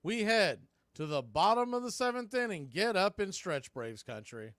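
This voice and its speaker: A man's voice: sing-song, moderate pace, fairly bright tone, dry recording. Man calling baseball play-by-play, close dry sound. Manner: clipped phrases between pitches, with brief asides. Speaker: baseball play-by-play announcer and show host. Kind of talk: live baseball radio play-by-play commentary.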